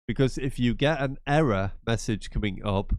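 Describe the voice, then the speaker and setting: A man's voice: medium pitch, normal speed, darkish tone, no echo. Stuffy-nosed man, chatty tutorial. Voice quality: nasal. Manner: chatty, repetitive, rambling asides. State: congested from a cold. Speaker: gaming channel host. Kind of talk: casual screen-share tutorial.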